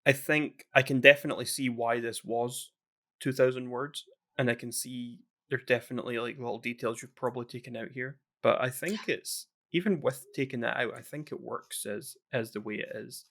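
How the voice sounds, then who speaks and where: A man chatting casually on a podcast at a middle pitch. He rambles along with frequent restarts and trailing thoughts. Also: fairly even intonation, normal speed, bright, forceful tone. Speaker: podcast co-host.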